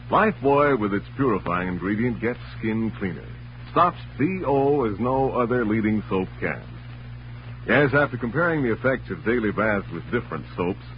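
A man's voice: fairly low pitch, a little hiss or hum. A man working as an emphatic, dramatic announcer, reading narration and sponsor copy in 1940s radio style. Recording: muffled, humming old radio recording.

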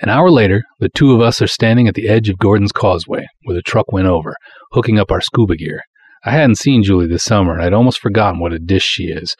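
A man's voice: lowish voice, fast, husky, no echo. A man playing a proud, folksy small-town cop who narrates his own pulp detective story in breezy, wisecracking, colloquial style. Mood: confident, casual. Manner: breezy, colloquial, hard-boiled wisecracking delivery. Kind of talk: dramatic first-person pulp story narration.

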